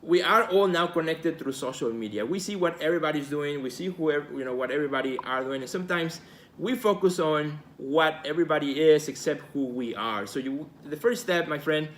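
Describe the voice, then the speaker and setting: A man's voice: on the high side, brisk, a little hiss or hum. A man from Mexico with a Mexican Spanish accent, Spanish his first language, hosting a casual live video talk as an art career coach, earnest and encouraging, often repeating his key phrases.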